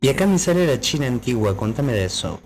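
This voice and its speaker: A man's voice: mid-range voice, very animated, fast talker, a little mellow, a little hiss or hum. A man co-hosting a casual podcast, explaining at length with many fillers. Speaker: podcast co-host.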